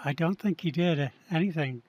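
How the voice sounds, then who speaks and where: A man's voice: on the high side, moderate pace, a little mellow. A man in a reading group, confused and apologetic about which part he is doing. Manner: unsure, apologetic. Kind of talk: casual chat.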